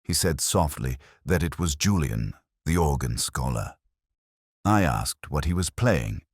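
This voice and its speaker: A man with an extremely low voice narrates a detective mystery in the first person. His delivery is measured and reflective, with a literary turn, yet the pitch moves around expressively. The sound is dry and close, free of room echo. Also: expressive.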